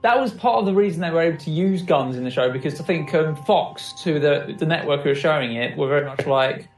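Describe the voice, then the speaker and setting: A man co-hosting a podcast in casual chat, talking in halting, filler-heavy stretches. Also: fairly high pitch. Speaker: podcast co-host. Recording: roomy, distant mic, music bed.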